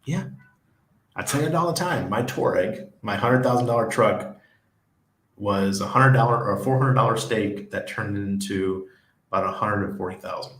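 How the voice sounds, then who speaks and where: A man's voice: lowish voice, moderate pace, fairly bright tone. A man in his forties with a Western New York accent, casually chatting on a livestream, chuckling often and reading viewer comments aloud. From Western New York, USA. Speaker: livestream host. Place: small home room with neon shelf lights. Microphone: desk mic on a stand beside him. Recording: echoey room.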